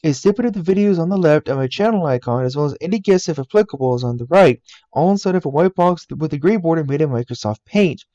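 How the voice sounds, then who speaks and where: A man's voice: a little high, lively intonation, fast, mellow, no room sound, highs cut off. A man in his twenties from the United States, earnestly narrating a scripted voice-over about himself and his channel from a game room at home. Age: 20s. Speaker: YouTuber. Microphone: laptop mic.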